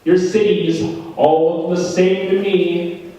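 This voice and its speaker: A young man performs a slam poem in a school room. His delivery is dramatic, jumping from loud cries down to low, hushed lines, and his voice sits on the high side. He is heard from a distance in a strongly echoing room. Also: little pitch movement, slow pace. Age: young adult. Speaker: slam poet. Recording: very echoey room, voice from far off.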